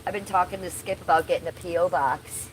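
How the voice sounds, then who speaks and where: A woman's voice: fairly low pitch, steady tone, a little fast, slight background noise, low-quality recording. A woman chatting casually on a livestream from her backyard, sick and losing her voice a little, loose and swearing now and then. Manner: chatty, loose, swears casually, talks to chat viewers by name. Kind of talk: casual livestream chat outdoors. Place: backyard under trees, outdoors.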